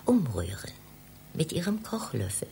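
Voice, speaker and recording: An actress in a German satirical radio play playing a flirtatious, easily offended library department head with a suggestive, theatrical manner, swinging between cooing and scolding. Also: female voice, low voice, wildly swooping pitch, measured pace, mellow, a little hiss or hum, heavily acted. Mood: coy, teasing.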